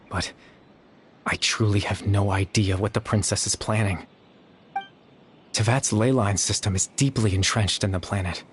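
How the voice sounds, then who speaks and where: A man voicing a young man who exists only in memory, calm and apologetic, speaking in a measured, quiet, explanatory way. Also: fairly low pitch, a little hiss or hum, lightly acted. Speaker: game character. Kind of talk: video game voice acting.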